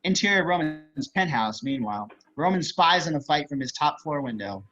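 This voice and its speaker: Bright, high-voiced man reading stage directions. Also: animated, moderate pace. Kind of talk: screenplay table read narration. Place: group video call. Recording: slightly dull video-call audio.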